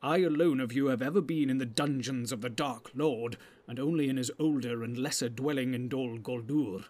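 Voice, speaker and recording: A man's voice: medium pitch, moderate pace, darkish tone, natural voice. A man playing a grave, commanding old wizard in a fantasy audiobook, speaking in weighty, archaic, oratorical phrases. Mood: stern, measured.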